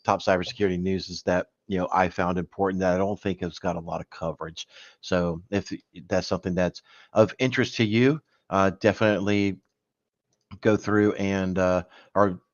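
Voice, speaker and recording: A man's voice: low voice, dry recording, dull audio. A man guest-hosting a live cyber news stream, chatting casually with many fillers and restarts. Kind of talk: casual livestream chat.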